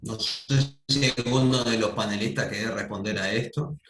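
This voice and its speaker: A man's voice: on the low side, moderate pace, a bit bright, slight background noise. Hesitant man in an echoey room. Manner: thoughtful, hesitant, frequent fillers. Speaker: conference panelist. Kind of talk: academic discussion comment. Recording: roomy echo.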